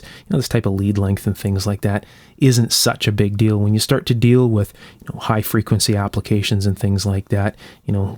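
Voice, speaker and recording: A man's voice: fairly low pitch, darkish tone, no echo. A man giving hands-on instructional commentary in a conversational, step-by-step way, as host of a repair show.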